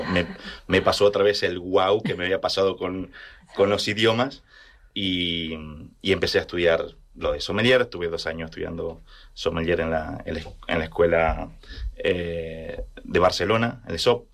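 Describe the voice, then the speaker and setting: A man from rural Argentina with an Argentine accent, telling personal stories casually and haltingly as he searches for words. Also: low-pitched, fairly bright tone. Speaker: interview guest.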